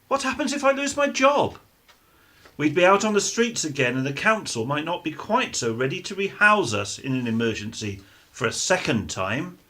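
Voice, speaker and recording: A man's voice: on the high side, sharp tone, exaggerated voice. A man acting in a radio drama as a bitter, argumentative working-class husband and father who distrusts everyone. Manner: blunt, argumentative, mild swearing.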